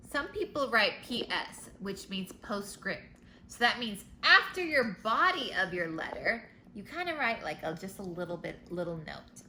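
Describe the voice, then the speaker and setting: A woman teacher reading a storybook aloud to children, pausing to explain words and pictures in simple terms. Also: mid-range voice, bright, forceful tone. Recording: noticeable background noise.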